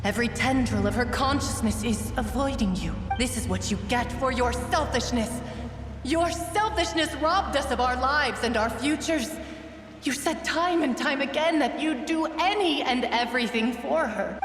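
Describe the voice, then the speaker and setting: A woman playing a vengeful collective spirit of murdered women in a video game, solemn, deliberate and condemning, with ghostly reverb. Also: a little high. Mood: cold condemnation. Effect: heavy ghostly reverb. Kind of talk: dramatic video game acting. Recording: echoing long reverb, distant, music behind.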